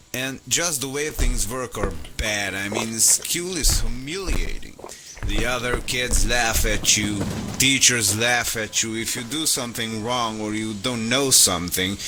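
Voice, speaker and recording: A man's voice: medium pitch, natural voice, low-quality recording. An actor playing a hot-headed, sardonic male radio host who grumbles through comic monologues in a video game. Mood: grumbling, sardonic. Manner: grumbling, rambling, complaining. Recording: loud music and car noise over voice. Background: loud music, car engine.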